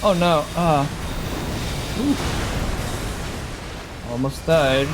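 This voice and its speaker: A man giving casual, unhurried live commentary as a game streamer, musing aloud as he goes. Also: a little high. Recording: game music behind the voice. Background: game music.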